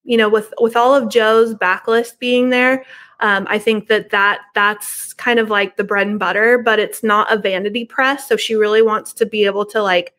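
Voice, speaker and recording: A woman's voice: medium pitch, steady tone, moderate pace, a bit bright. A middle-aged woman chatting casually and informally as a podcast guest on a video call from her home. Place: living room at home.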